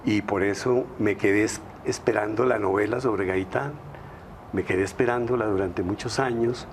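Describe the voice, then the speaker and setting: A man's voice: lowish voice, steady tone, normal speed, slight background noise. Emphatic male novelist on a panel. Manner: emphatic, lists words in rhythmic series. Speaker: novelist guest. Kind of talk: studio panel discussion.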